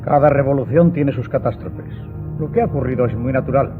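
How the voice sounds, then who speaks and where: An actor playing a callous, contemptuous prosecutor in a radio drama, dismissive and scornful. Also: male voice, medium pitch, brisk, natural voice. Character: callous, contemptuous royalist prosecutor, sneering at petitioners. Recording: muffled narrow-band audio drama recording. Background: loud music.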